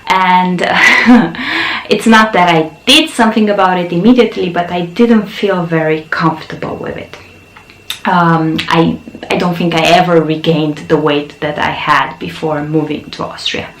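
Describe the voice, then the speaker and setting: A woman's voice: fairly low pitch, fairly even intonation, normal speed, echoey room, slight background noise, poor audio. A woman in her thirties, Romanian-born with Romanian as her first language, hosting her own channel and telling her life story in a frank, chatty way. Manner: frank, chatty, with many fillers and laughs. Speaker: yoga channel host. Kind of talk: personal storytelling to camera.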